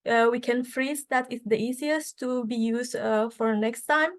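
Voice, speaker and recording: Woman presenting with hesitant fillers. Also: fairly high pitch. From Indonesia. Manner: halting, many fillers and restarts. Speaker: student association speaker. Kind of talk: conference presentation.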